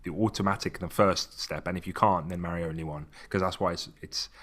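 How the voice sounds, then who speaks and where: Deep-voiced emphatic man from Tunisia. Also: brisk. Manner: animated, emphatic, restarts phrases. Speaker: podcast co-host. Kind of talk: casual podcast chat.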